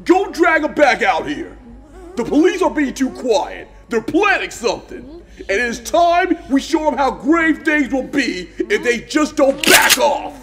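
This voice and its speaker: A man's voice: very high voice, very animated, measured pace, fairly bright tone, soft background music, exaggerated voice. A man playing an irritable, menacing gang boss in an audio drama, bossy and theatrical. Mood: exasperated, menacing.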